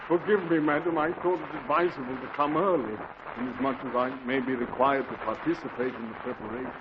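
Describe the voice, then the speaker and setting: A man's voice: mid-range voice, fairly even intonation, fairly quick, close to an everyday voice. An actor playing a pompous, overly dignified male butler in a 1940s radio comedy, with stiff formal diction, heard through a hissy, dull old radio recording. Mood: haughty.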